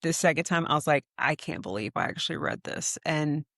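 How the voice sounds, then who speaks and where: A woman's voice: low-pitched, expressive, brisk, vocal fry, fairly bright tone, dry recording, clean studio sound. A woman and podcast co-host chatting on a video call, rambling and often restarting her sentences. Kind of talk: casual podcast chat.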